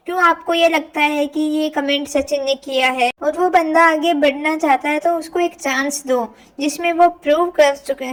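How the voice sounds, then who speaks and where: A woman vlogger giving informal gossip commentary in an opinionated, insistent, questioning manner. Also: sits high. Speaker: reaction vlogger.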